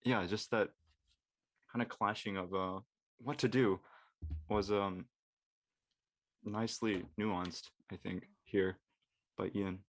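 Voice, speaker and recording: A man's voice: fairly low pitch, fairly even intonation, takes it slowly, long pauses, dry recording. A man from Georgia in the United States chatting casually, hesitant as he searches for his words. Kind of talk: casual chat.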